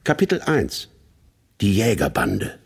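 An actor playing a narrator in a radio play, speaking in a deliberate, literary manner. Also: male voice, lowish voice, huge pitch swings, gravelly, breathy edge, full character voice. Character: measured male narrator, correcting and introducing a rogue writer. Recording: studio radio play with guitar music.

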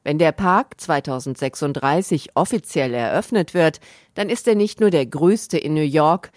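A man reporting in calm, descriptive scripted narration. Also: on the high side, moderate pace, no echo, clean studio sound.

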